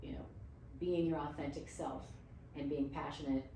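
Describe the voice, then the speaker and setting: A woman's voice: sits low, fairly even intonation, normal speed, mellow, slight background noise. A woman interviewing a guest, asking long, winding questions full of fillers and easy laughter. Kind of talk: casual interview conversation. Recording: very echoey room, voice far from mic.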